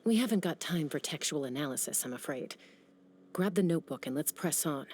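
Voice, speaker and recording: A woman playing a practical young female adventurer who speaks in a calm, matter-of-fact way. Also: deep voice, brisk, a little hiss or hum. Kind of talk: video game dialogue.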